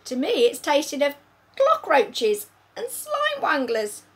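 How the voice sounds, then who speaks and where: Storybook role: a woman doing a bumbling, very old gentle giant, high and loud, tangling every sentence. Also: wildly swooping pitch, takes it slowly, full character voice. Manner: bumbling, mangled grammar and made-up words. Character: kindly very old male giant who jumbles his words.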